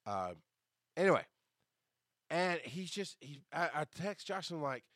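A man hosting a podcast, telling a story in casual, profane, animated fashion and acting out what people said, with a deep, bass-heavy voice. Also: unhurried, no echo, clean studio sound. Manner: animated, profane, acts out quoted lines. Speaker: podcast host.

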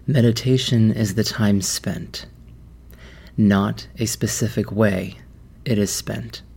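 A man leading a guided meditation in a measured, deliberate manner with long pauses. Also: fairly low pitch, fairly even intonation, creaky, a little mellow, slightly rough.

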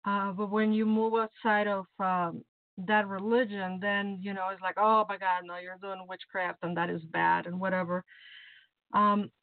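A woman from Mexico City with a Mexican Spanish accent, a guest speaking lively and joking in a casual interview. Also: medium pitch, normal speed, no echo. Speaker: podcast guest. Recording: tinny phone-like line.

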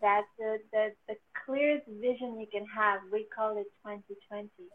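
A woman's voice: mid-range voice, steady tone, moderate pace. A woman with a French accent, talking casually as a guest and laughing often, hopeful and full of faith. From France. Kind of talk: casual conversation. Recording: tinny phone-like line.

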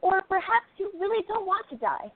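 A woman acting in a radio play as a perceptive, gently persistent sleuth with a thoughtful, probing delivery. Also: very high-pitched, sing-song, fairly quick. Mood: probing, sympathetic. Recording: tinny, phone-like narrow-band audio.

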